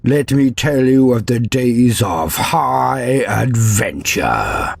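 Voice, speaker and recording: Male narrator for dramatic fantasy lore, gravelly and grandiose with wry asides, over music. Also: medium pitch, animated, slow, dry recording. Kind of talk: dramatic scripted storytelling narration. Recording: music under the voice. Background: background music.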